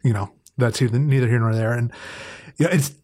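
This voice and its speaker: Man, podcast co-host, medium pitch, hesitant phrasing, self-deprecating, informal reminiscing. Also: fairly quick, a little mellow. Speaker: podcast co-host and amateur voice actor. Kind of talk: casual reminiscing chat.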